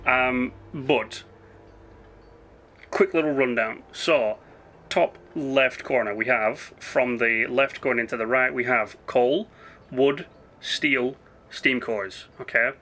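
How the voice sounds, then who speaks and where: Hoarse, chatty man with a bright voice. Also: medium pitch, slow pace. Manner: rambling and chatty, with frequent fillers and self-corrections. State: hoarse, partly lost voice. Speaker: gaming channel host. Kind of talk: casual let's-play commentary.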